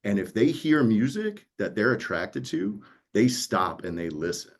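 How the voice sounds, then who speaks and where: A man's voice: fairly low pitch, sing-song, unhurried. A man speaking as a producer in a conversational panel, explaining earnestly and checking in with listeners. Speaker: audiobook producer. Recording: dull, lo-fi audio.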